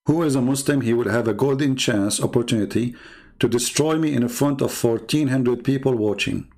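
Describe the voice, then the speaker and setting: Gravelly Arabic-accented man challenging callers. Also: medium pitch, moderate pace, a little mellow. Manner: challenging, taunting, inviting callers. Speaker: online debate host. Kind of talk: live-streamed religious debate talk.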